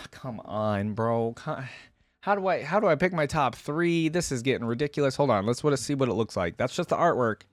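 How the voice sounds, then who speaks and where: A man's voice: mid-range voice, animated, moderate pace, dry recording, clean studio sound, faint music behind. A man hosting a casual reaction video, talking to viewers in an informal, slangy, exclamatory way.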